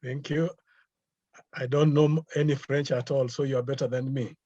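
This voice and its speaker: Man, meeting chair, mellow, husky, brief name calls, dry dull video-call sound. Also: mid-range voice, little pitch movement, moderate pace, no echo. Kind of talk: online meeting moderation.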